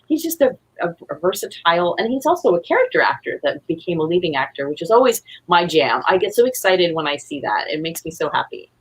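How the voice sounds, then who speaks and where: A woman with a husky voice chatting casually as an interview guest, effusive and rambling. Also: lowish voice, sing-song, fast talker, few pauses, low-quality recording. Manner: effusive, rambling, acts out quotes. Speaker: guest, playwright.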